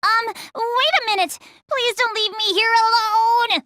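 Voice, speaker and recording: A woman's voice: extremely high pitch, fairly even intonation, a little slow, bright, forceful tone, no room sound, studio quality, heavily acted. A woman voicing a sweet, timid young nurse girl in an anime game, childlike and cutesy. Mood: frightened. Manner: childlike, cutesy, stammering when flustered.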